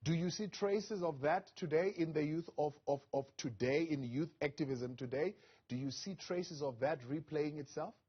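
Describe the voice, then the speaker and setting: A man's voice: fairly high pitch, normal speed. A man anchoring an evening news interview, reading a scripted introduction with "uh" fillers, then questioning his guest. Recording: lo-fi broadcast audio.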